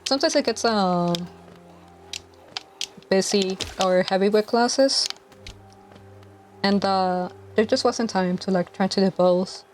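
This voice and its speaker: A young woman in her twenties chats casually on a live stream while she plays. She speaks softly and hesitantly, with many fillers. Her mic is clipped to her collar, and faint game music runs underneath. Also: medium pitch, slow, pauses often. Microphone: lapel mic clipped to collar.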